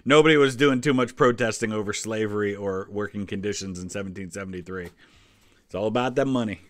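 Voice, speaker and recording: A man's voice: fairly low pitch, fast, fairly bright tone, no room sound. A middle-aged American man giving casual, chatty explanatory commentary in a small indoor studio. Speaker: host reacting to a history quiz video.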